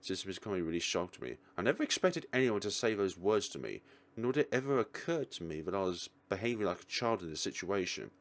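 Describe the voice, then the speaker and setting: A man's voice: deep voice, sing-song, quick pace. A man reading story text aloud as a let's play host, with casual reactions and groaning asides.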